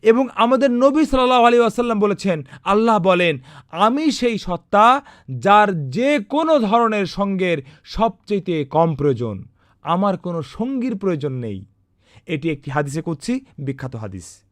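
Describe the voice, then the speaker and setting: A man with a fairly high voice narrates a religious lesson. His pitch rises and falls a lot as he lectures earnestly and asks rhetorical questions. The sound is close and dry, with no room around it. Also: big pitch swings, moderate pace, no room sound. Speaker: narrator of an Islamic cartoon.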